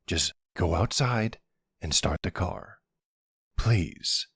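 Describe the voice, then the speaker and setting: A man playing a charming, smooth-talking mafia boss in an ASMR roleplay, wry and flirtatious. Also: low-pitched, expressive, slow pace, fairly bright tone, no room sound, clean studio sound, exaggerated voice. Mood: exasperated. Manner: flirtatious, wry, exasperated with his cousin. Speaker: voice actor.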